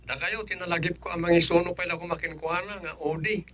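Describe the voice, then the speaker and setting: A man plays a village chairman in a radio comedy. He is brisk, businesslike and respectful, speaking with an Ilocano accent. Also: high-pitched, steady tone, a bit bright. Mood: brisk, courteous.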